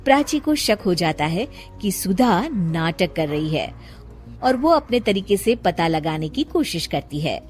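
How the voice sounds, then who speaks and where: A woman doing scripted storybook narration for a cartoon, with an even storytelling cadence. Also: lowish voice, measured pace, faint music behind.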